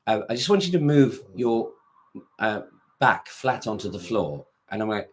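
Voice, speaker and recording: A man who directs a choir, chatting casually about singing, with a low voice and many restarts. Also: big pitch swings, moderate pace. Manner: rambling, many restarts, sings short notes to demonstrate. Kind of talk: casual interview chat.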